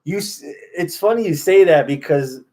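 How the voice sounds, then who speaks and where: A man's voice: on the high side, lively intonation, moderate pace, a little mellow. A man with an American accent hosting a wrestling podcast, giving opinionated, profane analysis in a casual chat.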